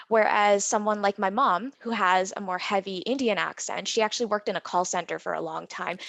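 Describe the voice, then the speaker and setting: A woman's voice: mid-range voice, vocal fry, fairly bright tone, dry recording. A woman with a Canadian accent leading a workshop, explaining ideas earnestly with the odd "um" and "kind of.". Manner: earnest and explanatory, some fillers. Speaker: workshop facilitator. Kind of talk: presenting a workshop.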